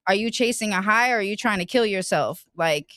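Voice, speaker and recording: A woman hosting a casual podcast, talking in a relaxed, slangy way and laughing easily. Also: medium pitch, fairly quick, no room sound, clean studio sound. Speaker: podcast host.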